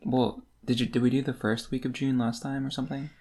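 A man's voice: mid-range voice, little pitch movement, a little fast, dark tone, slightly rough. A man in his twenties co-hosting a podcast in casual conversation about books. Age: 20s. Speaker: podcast co-host. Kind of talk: casual book discussion chat.

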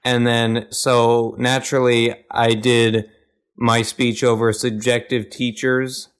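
A young man with a flat, deadpan monotone that hardly rises or falls. He chats casually on a podcast, telling a school story in an even, unexcited way. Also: medium pitch, takes it slowly, smooth. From Arkansas. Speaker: podcast co-host.

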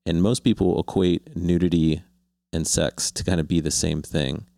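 Deep-voiced, thoughtful male interviewer. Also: steady tone, vocal fry, mellow, no room sound, studio quality. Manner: halting, rambling, thoughtful questions. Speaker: interviewer, host. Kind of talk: conversational long-form interview.

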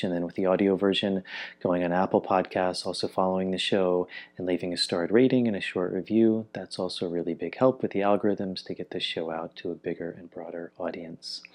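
A man with a low-pitched voice talks as the host of a podcast. His delivery is conversational, in the plain style of an introduction or an interview. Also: darkish tone.

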